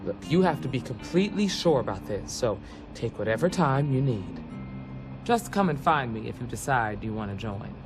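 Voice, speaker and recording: A woman's voice: very deep voice, animated, normal speed. A woman playing an earnest, folksy female ranger recruiter in a video game. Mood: serious, measured. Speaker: recruiting officer. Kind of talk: video game character dialogue. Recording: game audio with loud music bed. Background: music.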